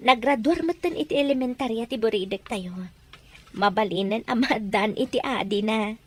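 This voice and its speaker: A woman playing a good-humoured village wife in an audio drama, with a breathy voice. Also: a little high, measured pace. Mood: light, teasing. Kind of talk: Ilocano audio drama acting.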